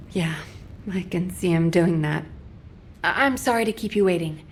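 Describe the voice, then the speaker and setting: A woman's voice: lowish voice, unhurried, a little hiss or hum. An actress voicing a worried young female recruit in a game cutscene, hesitant and anxious. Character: worried young woman soldier, new recruit.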